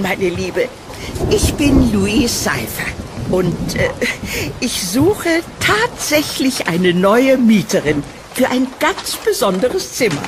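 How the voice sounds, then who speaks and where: A woman's voice: fairly high pitch, background noise. A woman playing a sweet, old-fashioned elderly landlady with a fussy, motherly manner in an audio drama. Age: older.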